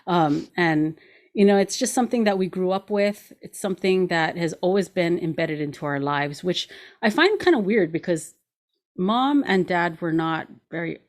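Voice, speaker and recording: A woman's voice: on the low side, normal speed. A woman in her forties hosting a show, chatting casually in an informal, giggly way. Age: 40s. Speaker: show host. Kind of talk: casual conversation.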